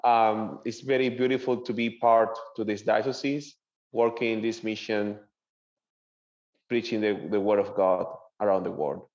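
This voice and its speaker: A young man with a Venezuelan Spanish accent, a native Spanish speaker, answering interview questions as a seminarian in earnest, halting English. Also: lowish voice, fairly even intonation, darkish tone, highs cut off. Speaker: seminarian guest.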